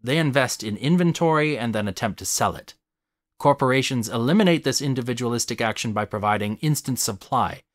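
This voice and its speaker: A man's voice: medium pitch, lively intonation, fairly quick, dry recording. Man narrating a dry, close-miked video essay.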